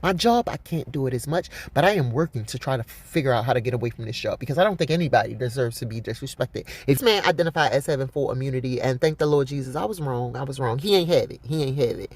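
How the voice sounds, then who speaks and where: A woman with a deep voice giving blunt, profane, rambling commentary on a news story, laughing often. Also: expressive, fast, hardly any pauses, darkish tone, slightly rough. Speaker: vlogger.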